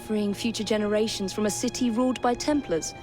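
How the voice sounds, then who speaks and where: An actress as a wry young assassin. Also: female voice, mid-range voice, fairly even intonation, a little fast, over music, natural voice. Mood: dry composed. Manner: composed, dry, wry. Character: composed young female assassin twin. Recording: cutscene with score.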